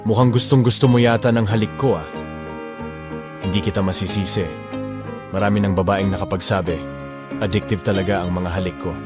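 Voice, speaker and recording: A man's voice: fairly even intonation, brisk, long pauses, lightly acted. A man playing a cocky young male bodyguard who teases and commands, speaking in a smug, controlled way over background music. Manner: smug, teasing, low and controlled. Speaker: male lead of an audio drama.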